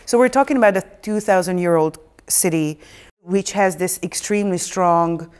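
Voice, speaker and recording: Wry, lively woman moderating a panel. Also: on the low side, moderate pace, clear, resonant tone. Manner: lively, wry, asks pointed questions. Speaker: podcast host.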